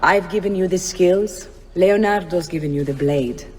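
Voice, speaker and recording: A woman's voice: lowish voice, moderate pace, a little hiss or hum, lightly acted. A woman acting as a shrewd madam who mentors with cool poise. Her voice carries long reverb, in the manner of a game cutscene. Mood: cool, approving. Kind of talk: video game dramatic acting.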